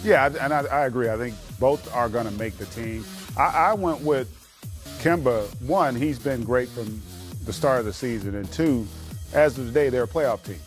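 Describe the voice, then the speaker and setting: Medium-pitched male basketball pundit over music. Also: normal speed. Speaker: basketball analyst. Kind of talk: conversational sports debate.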